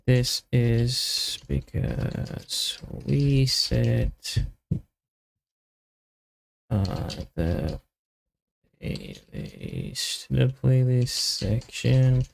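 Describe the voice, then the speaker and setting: Male developer streamer, casual think-aloud delivery, very slow with long pauses, heavily creaky mid-pitched voice. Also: animated, darkish tone, slight background noise. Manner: halting, muttered fragments with long pauses. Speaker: software developer live streamer. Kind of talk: casual live coding commentary, thinking aloud.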